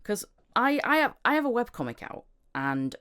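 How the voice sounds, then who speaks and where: A woman hosting a casual podcast chat, talking in a halting, rambling, self-deprecating way. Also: a little high, sing-song, a bit bright, clear, resonant tone. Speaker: podcast host and voice actor.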